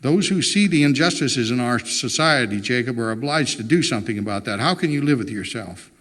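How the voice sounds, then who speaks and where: A man's voice: medium pitch, animated, a little fast, fairly bright tone, long reverb tail, lightly acted. A man voicing an earnest, morally insistent wife in a storybook reading, impassioned and pleading with her husband. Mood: impassioned, indignant.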